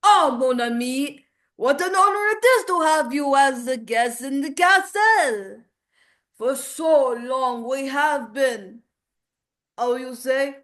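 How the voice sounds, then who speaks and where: A woman performs a grandiose French showman greeting a guest in a castle. The voice sits fairly high and takes its time. The delivery is theatrical, lively and welcoming, like a character rehearsal. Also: slow pace. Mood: showy, excited. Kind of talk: acted character line in a voice lesson.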